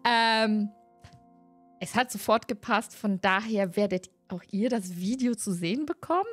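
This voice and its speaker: A woman's voice: a little high, no echo. A middle-aged woman hosting a tabletop role-playing channel, chatting casually to viewers into a close desk microphone. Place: indoors at home, video call. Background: music.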